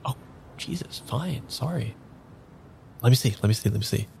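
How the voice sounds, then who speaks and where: An actor playing a wistful, apologetic man without his memory in an improvised audio drama, speaking haltingly over music. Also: mid-range voice, animated, gravelly, darkish tone, slight background noise. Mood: flustered, sheepish. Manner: halting, repeats himself, hesitant. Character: uneasy amnesiac man, apologetic and wistful, narrating his search. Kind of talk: improvised audio drama, first-person narration and dialogue.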